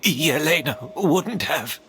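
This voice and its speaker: A man playing a proud, menacing master perfumer in a video game, speaking deliberately and theatrically with pointed pauses. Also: a little high, expressive, fairly bright tone, full character voice. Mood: disbelieving. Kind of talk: scripted video game voice acting. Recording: prominent music behind the voice.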